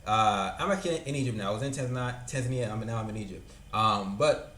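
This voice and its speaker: A man's voice: lowish voice, fast talker, sharp tone, a little hiss or hum. Filler-heavy man in echoey room. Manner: loose, filler-heavy, repeats himself. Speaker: vlogger. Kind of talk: casual explainer commentary. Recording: echoey room with faint ambience.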